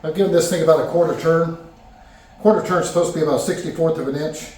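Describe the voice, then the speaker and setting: A man's voice: fairly high pitch, fairly even intonation, fast, a little mellow, far from the mic, slight background noise. A man narrating his woodworking project in a casual, rambling way, with frequent fillers and restarts, recorded in his home workshop. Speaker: woodworking vlogger. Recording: echoey workshop, voice off-mic.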